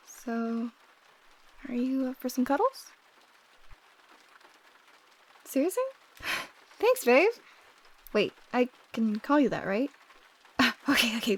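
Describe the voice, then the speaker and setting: A woman playing an affectionate, shyly teasing young roommate in an intimate ASMR roleplay, speaking softly and often dropping to a whisper. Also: fairly high pitch. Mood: relieved, affectionate.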